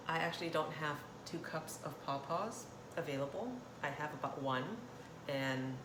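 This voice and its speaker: A woman from Thailand hosting a casual cooking demonstration, chatting in a rambling way and often interrupting herself. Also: deep voice. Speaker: cooking channel host. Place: indoor kitchen. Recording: roomy echo, clear kitchen noise, clattering dishes. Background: cutlery and dish clatter.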